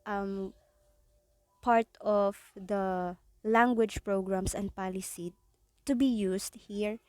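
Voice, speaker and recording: A young Filipino woman gives a class report, stopping to restart words and filling gaps with "um". Her voice is mellow and dark, and it sits close and dry with no room sound. She talks at a middle pitch. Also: fairly even intonation, no room sound. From Philippines. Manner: halting, with frequent restarts and fillers. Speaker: student presenter.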